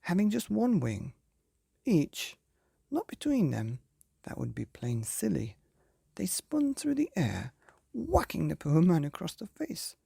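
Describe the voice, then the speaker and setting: A man hosting and narrating a children's bedtime story in a theatrical way, with drawn-out emphasis and hushed phrases. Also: sits high, huge pitch swings, creaky, breathy edge, dry recording. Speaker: podcast host and storyteller.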